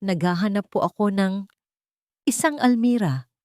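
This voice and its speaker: A woman acting a soft-spoken, urgent older Filipino woman. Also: medium pitch, animated, a little slow, no echo, studio quality, lightly acted. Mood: hesitant, soft. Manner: urgent, pleading. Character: thin older woman, timid but fed up, confessing.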